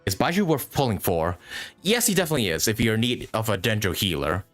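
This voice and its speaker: A man's voice: on the low side, very animated, fast talker, faint music behind. A man doing casual live commentary as a game streamer, thinking aloud as he plays and swearing casually.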